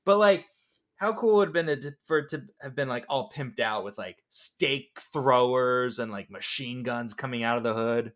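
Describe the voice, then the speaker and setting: A man's voice: mid-range voice, moderate pace, fairly bright tone. A man in a casual film discussion, joking and riffing on scenes.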